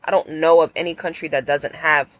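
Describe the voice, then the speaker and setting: A woman's voice: low-pitched, fairly even intonation, brisk, fairly bright tone. A woman guest in a casual chat, thoughtful and a bit hesitant.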